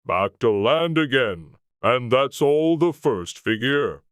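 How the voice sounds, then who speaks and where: A man's voice: medium pitch, expressive, clean tone, no echo, studio quality. A man voicing a mournful, sentimental mock turtle with a plaintive manner in a dramatized storybook reading. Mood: earnest. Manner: slow, plaintive. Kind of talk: dramatized audiobook character.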